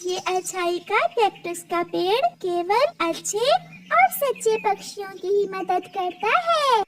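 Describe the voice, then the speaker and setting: A woman voices a magical cactus tree in a moral cartoon. It speaks slowly in a high voice. It is wise and kindly. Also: expressive, heavily acted. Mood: stern. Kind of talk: children's cartoon dubbing.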